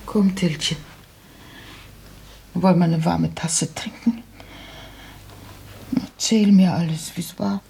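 An actress playing a tearful, fretful old mother in a radio play, lamenting slowly in a creaky, mellow voice. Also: lowish voice, steady tone, slight background noise. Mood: motherly, subdued. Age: older. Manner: slow, lamenting.

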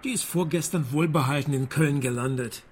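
A man's voice: a little high, brisk, a little hiss or hum, lightly acted. An actor playing a wry, evasive private detective in a radio drama, casual and dry, always talking his way around things. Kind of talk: modern radio drama acting.

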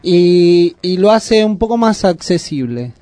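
A man's voice: high voice, lively intonation, slow, dark tone, dry recording. A man co-hosting a community radio show, offering reflective comments. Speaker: radio co-host. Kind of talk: casual radio chat.

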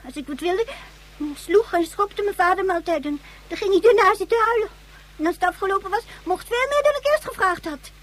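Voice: very high voice, animated, fairly quick, fairly bright tone, rough recording. An actor in a radio play voicing a defiant, spiteful young girl with a stubborn, taunting manner. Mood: sullen.

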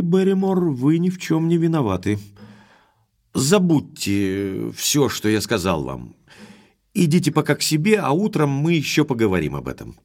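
An actor playing a forthright, impulsive gentleman baronet who talks commandingly in an audio drama. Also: medium pitch, gravelly, full character voice. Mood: relenting. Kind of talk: Russian audio drama acting.

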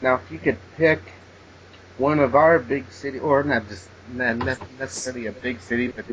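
A man's voice: mid-range voice, steady tone, moderate pace. A man hosting a podcast interview, talking casually with hesitant, stumbling restarts. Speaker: podcast host. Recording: dull lo-fi sound with faint noise.

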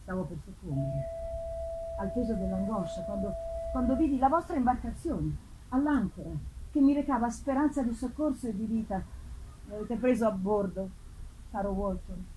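A woman's voice: medium pitch, animated, moderate pace, warm tone, a little hiss or hum, lightly acted, low-quality recording. A woman voicing an anguished, vengeful man in a solemn, impassioned, theatrical reading of a gothic novel. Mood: grave, weary. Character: anguished, vengeful man hunting the demon who wronged him. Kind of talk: dramatic first-person gothic novel reading.

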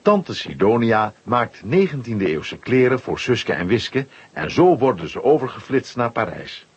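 A man with a husky voice narrating a children's audio drama in a scripted storytelling style. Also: mid-range voice, sing-song, unhurried. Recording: slightly dull recording.

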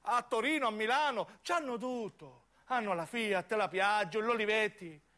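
A man's voice: sits high, moderate pace, bright, forceful tone. A man with a southern Italian accent performing a dramatic, impassioned theatrical monologue for radio, emphatic and repetitive. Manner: impassioned, emphatic, repeating phrases. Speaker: narrating actor.

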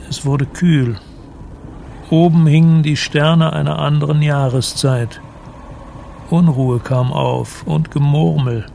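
An actor as a grave storyteller, delivering scripted literary narration for a radio drama. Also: male voice, on the high side, creaky, darkish tone, slight background noise.

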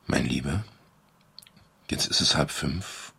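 A restrained, gentlemanly detective in a radio crime play. His middle-aged voice sits very deep and has a gravelly grain, with formal, measured phrasing. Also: crowd noise, full character voice. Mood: measured, reflective. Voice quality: gravelly, husky. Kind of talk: German radio crime drama narration and dialogue.

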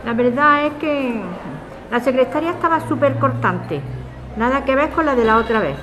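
A woman's voice: high-pitched, animated, moderate pace, over music. A woman with an accent from Spain playing a chatty, motherly café woman in a naturalistic audio drama. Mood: suspicious, chatty. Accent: Spanish, from Spain. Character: suspicious, easily alarmed neighbourhood café woman, chatty, motherly. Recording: clearly noisy background ambience.

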